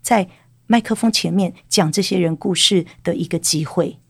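A woman working as an interpreter, briskly relaying the guest's answers. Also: lowish voice, slightly rough, no echo. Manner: brisk, relays in the first person. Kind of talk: consecutive interpreting.

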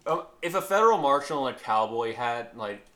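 Wry podcast co-host in an echoey room. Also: male voice, medium pitch, animated, fairly quick, crowd noise. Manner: wry, joking asides. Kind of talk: casual TV recap chat.

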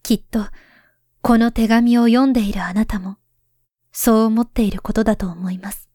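A solemn woman reading a warning letter aloud in a voice drama, voiced by a woman. Her voice sits fairly high but stays hushed and soft, often slipping toward a whisper. The sound is close and dry. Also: steady tone, moderate pace, dry recording, close to an everyday voice. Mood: earnest. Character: grown woman writing to her past self, grave and pleading.

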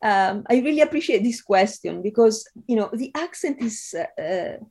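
A middle-aged woman from Italy with an Italian accent, giving conversational interview answers with frequent ums and pauses. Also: fairly high pitch, animated, a little mellow, slightly rough. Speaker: interview guest, film scholar.

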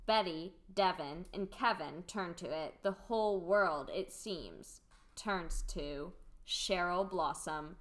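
A woman's voice: lowish voice, slow, fairly bright tone. A woman reading stage directions as the narrator of a scripted amateur radio play.

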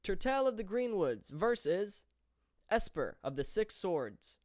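A booming male arena announcer stretches each word out slowly, pitched high and thrown to the whole stadium, as a storyteller puts him on. He is loud and theatrical, all ceremony. The recording is dry and close. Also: sing-song, no echo, heavily acted. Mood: grandly proclaiming. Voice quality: loud, drawn-out proclamations.

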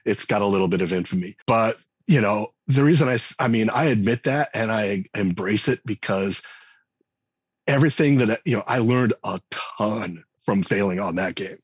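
A man's voice: on the low side, normal speed, breathy edge, no echo. A man in his forties speaking as a guest in a casual interview, talking loosely with many restarts and fillers. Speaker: game writer and designer guest. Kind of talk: conversational podcast interview.

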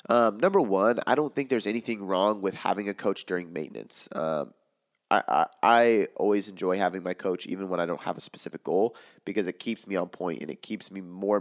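A man with a raspy, worn-out voice, hosting a casual podcast Q&A as a fitness coach and explaining in a loose way, with lots of "like" and "um". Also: deep voice. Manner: loose, filler-heavy explaining with frequent "like" and "um". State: hoarse from talking all day.

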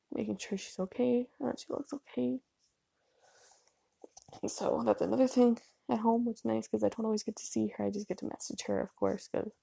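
A young woman from Canada rambles on at home, apologizing and filling pauses with "um" and "like." Her voice is heavily gravelly and mellow, a little husky, and her nose is stuffy. The sound is dry and close. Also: medium pitch, dry recording. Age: young adult. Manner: chatty, self-deprecating, filler-heavy with ums and likes. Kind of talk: rambling casual monologue.

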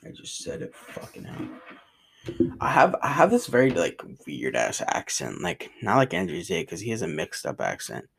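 A man's voice: fairly low pitch, wildly swooping pitch, moderate pace, creaky, a bit bright, slight background noise. A man with a New York and New Jersey accent, rambling casually in slangy vlog chat from his room. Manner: slangy, rambling, says bro a lot. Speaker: vlogger. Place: bedroom in a rented cabin.

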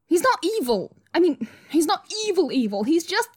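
A woman's voice: extremely high pitch, very animated, normal speed. A woman voicing a protective young child, pleading urgently in an audio drama. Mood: alarmed, pleading. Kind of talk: audio fiction acting.

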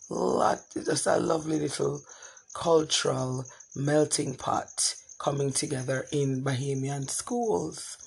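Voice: mid-range voice, creaky, breathy edge, low-quality recording. A therapist from Jamaica, telling a reflective story in a thoughtful way with frequent restarts.

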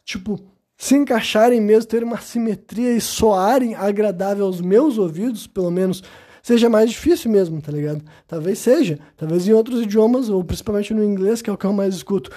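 Rambling Brazilian man, dark mellow voice. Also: high voice, fairly quick. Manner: rambling, constant "sabe?" tags, hesitant restarts. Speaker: podcast host. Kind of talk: casual monologue.

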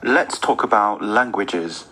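A man voicing a formal male speaking-test examiner who asks brisk, set questions. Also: lowish voice, bright, forceful tone, slight background noise, pitched above the performer's own voice, rough recording.

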